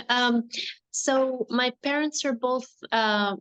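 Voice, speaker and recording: A woman's voice: fairly high pitch, takes it slowly, a bit bright, clear, resonant tone, low-quality recording. A woman who grew up in Morocco, guest on a podcast interview, speaking reflectively with many fillers. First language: English and Moroccan Arabic. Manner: reflective, uses many fillers like "like" and "you know". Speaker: guest, nonprofit founder. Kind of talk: conversational interview.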